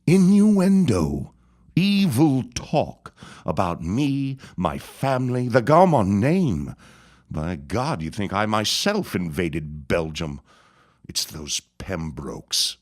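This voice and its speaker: A deep-voiced scheming reverend. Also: male voice, very animated, a little mellow, full character voice. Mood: indignant. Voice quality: deep, slow, drawn-out menace. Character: scheming sinister small-town reverend, gloating. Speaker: Reverend Gaumont. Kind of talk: radio drama acting.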